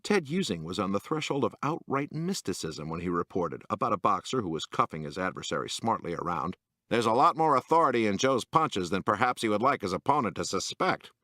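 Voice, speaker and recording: A man's voice: sits low, a bit bright, dry recording. A man reading a humorous magazine essay aloud in a wry, dry manner, lifting his voice for each quotation. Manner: dry, wry delivery that lifts into quoted voices. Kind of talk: scripted narration.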